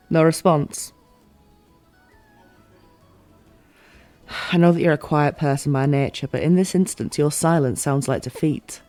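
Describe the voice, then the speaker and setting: A woman playing a teasing, playful fantasy ruler in a scripted audio roleplay, with formal, expressive phrasing. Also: sits low, dry recording.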